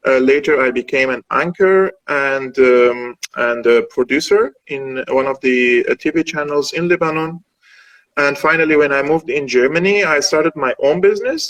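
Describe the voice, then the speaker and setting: Fast-talking man with many fillers. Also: fairly high pitch, fairly bright tone, poor audio. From Syria. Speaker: guest analyst. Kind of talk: interview answer.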